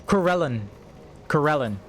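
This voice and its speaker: A man running a tabletop game as game master, reading lore aloud in halting, broken phrases. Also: on the high side, animated, a bit bright, faint music behind. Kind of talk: narrating a tabletop game over a call.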